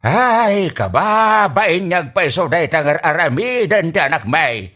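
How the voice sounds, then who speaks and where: A man's voice: on the high side, big pitch swings, a little slow, sharp tone, full character voice. A man playing a scolding, exasperated older father with an Ilocano Filipino accent in a comedy radio drama, grumbling and drawing out his exclamations.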